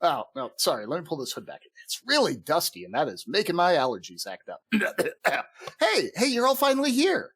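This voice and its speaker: Man playing an excitable high-pitched scheming gnome leader. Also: a little fast, hardly any pauses, heavily acted. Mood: sheepish, eager. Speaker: podcast cast member. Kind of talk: amateur comedic fantasy audio drama.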